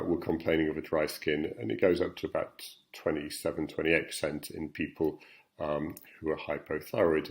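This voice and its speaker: Middle-aged guy with a really low voice walking patients thru slides, lots of ums and uhs. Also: darkish tone. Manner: frequent ums and uhs, plain explanatory pace. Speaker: endocrinology professor. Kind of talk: recorded slide talk for patients.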